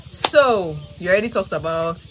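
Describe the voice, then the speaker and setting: A woman's voice: lowish voice, lively intonation, moderate pace. A woman vlogger interviewing a guest outdoors at a scenic park, playful and teasing as she talks to the camera. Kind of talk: casual travel vlog interview. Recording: outdoor recording with loud background noise.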